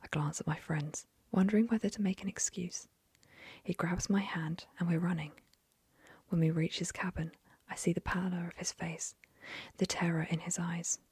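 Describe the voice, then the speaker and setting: A young woman whispering an ASMR story reading into a close mic, softly, with gentle fillers. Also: lowish voice, steady tone, moderate pace, husky, no echo. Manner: soft whisper, chatty with fillers after the story.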